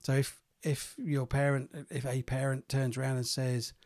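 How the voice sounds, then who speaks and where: A man co-hosting a podcast, slightly croaky from a cold, talking thoughtfully with hesitations and repeated words. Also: mid-range voice, level tone, moderate pace, a little mellow. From England. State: croaky, getting over a cold. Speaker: podcast co-host, therapist. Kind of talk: casual podcast chat.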